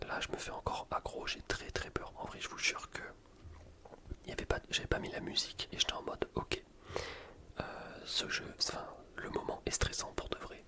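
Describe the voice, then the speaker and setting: A man whispering casual, slangy ASMR commentary over gameplay, explaining things in a rambling way. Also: a little fast. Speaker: gaming ASMR video creator.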